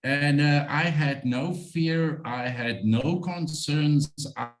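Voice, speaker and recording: A man from South Africa with a South African accent, a guest musher speaking in an earnest, heartfelt way with halting restarts in a conversational interview. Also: a little high, poor audio. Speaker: guest, sled dog musher.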